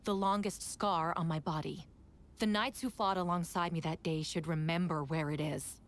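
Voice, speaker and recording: A woman's voice: fairly low pitch, fairly even intonation, normal speed, lightly acted. A woman playing an indignant, accusatory impostor knight in a video game, with theatrical, pointed delivery. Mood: cold, challenging.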